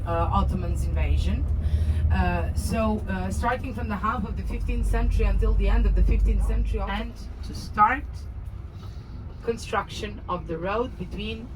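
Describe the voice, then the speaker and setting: A woman from Belgrade with a Serbian accent, giving a guided tour commentary with lively storytelling and frequent hesitant filler sounds, recorded inside a moving tour vehicle. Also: medium pitch. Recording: road noise from a moving vehicle. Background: engine and traffic noise.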